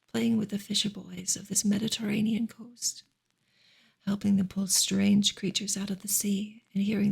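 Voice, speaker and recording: A woman reading a novel aloud as soft-spoken ASMR, in a hushed, breathy voice that often drops to a whisper. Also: medium pitch.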